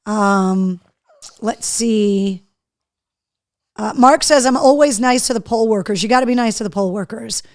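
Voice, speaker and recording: A woman hosting a live show in casual chat, her voice hoarse, chatty and loose. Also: on the high side, a little slow, pauses often. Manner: chatty, talks to her dog between remarks. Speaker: host.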